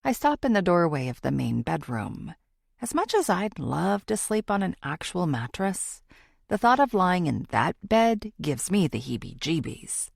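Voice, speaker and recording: A determined amateur female investigator thinks her way through a thriller audiobook. Her voice is slightly low with a creaky edge, moving expressively through a wide range as she reflects. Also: no echo, clean studio sound, exaggerated voice. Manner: expressive, introspective. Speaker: audiobook narrator. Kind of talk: first-person thriller audiobook narration.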